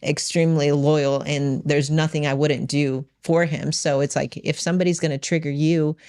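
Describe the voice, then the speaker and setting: A woman's voice: deep voice, level tone, moderate pace, dark tone, no echo, studio quality. A woman telling a personal story in conversation, emphatic and often quoting what was said in direct speech. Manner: emphatic, re-enacts dialogue in direct quotes. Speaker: podcast guest and business owner.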